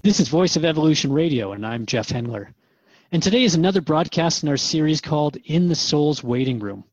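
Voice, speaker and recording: A man's voice: on the high side, expressive, fairly quick, darkish tone, dry recording, dull audio. A man hosting a radio interview program, conversational and reflective as he addresses listeners and his guest. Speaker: radio host.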